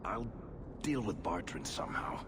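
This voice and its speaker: An actor playing a weary, sardonic male companion in a video game, with wry, deadpan delivery over music. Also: sits low, moderate pace, very noisy. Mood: bitter, wry.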